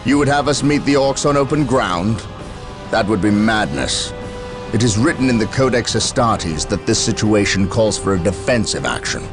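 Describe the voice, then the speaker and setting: An actor playing a stern, resolute space marine commander in a video game cutscene, speaking with formal military authority. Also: male voice, on the low side, lively intonation, normal speed, close to an everyday voice. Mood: stern, firm. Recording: music behind the voice. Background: music.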